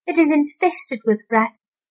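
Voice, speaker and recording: A woman's voice: high-pitched, expressive, unhurried, pitched above the performer's own voice. A woman voicing an anxious, tearful mother cat who talks in a fretful, lamenting way.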